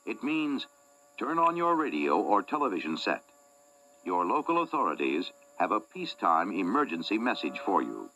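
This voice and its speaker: A man announcer reading scripted civil defense instructions in a measured, instructive manner. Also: on the low side, very animated, bright, forceful tone, a little hiss or hum. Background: music.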